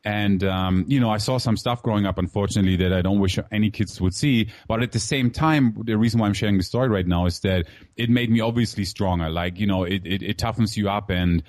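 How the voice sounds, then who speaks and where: Low-voiced man telling his story, dry. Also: a little fast, hardly any pauses, a little mellow, dry recording. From Germany. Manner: candid, filler-heavy, calls host "man". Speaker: guest entrepreneur. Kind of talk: casual interview storytelling.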